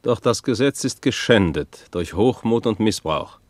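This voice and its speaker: Solemn indignant radio play narrator, lowish voice, very dry sound. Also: male voice, expressive, a little slow, dry recording.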